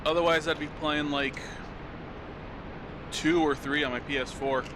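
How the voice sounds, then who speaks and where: A man's voice: on the high side, fairly even intonation, unhurried, background noise. Rambling young man streaming a game. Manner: loose and rambling, swears casually. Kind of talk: casual gameplay commentary. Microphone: desk mic. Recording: game music loud behind voice.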